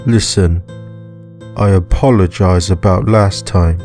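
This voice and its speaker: Man playing an awkward, hesitant, slow-talking guy. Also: low voice, steady tone, a little mellow, soft background music, pitched below the performer's own voice. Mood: apologetic. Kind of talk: visual novel voice acting.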